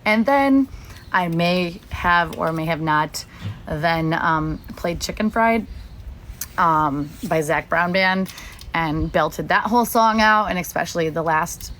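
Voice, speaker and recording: A woman's voice: on the low side, takes it slowly, a bit bright, slight background noise. A young woman from the United States chatting casually on a livestream, rambling and candid, swearing freely and filling her talk with likes and ums. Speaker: livestream host. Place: outdoors at a beach or lake.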